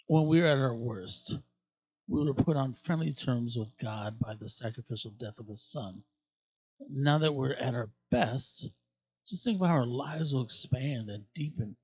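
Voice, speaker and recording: A man reading scripture aloud expressively, with emphatic, deliberate phrasing and dramatic pauses. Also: mid-range voice, animated, a little mellow, husky, muffled recording. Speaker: scripture reader at a church.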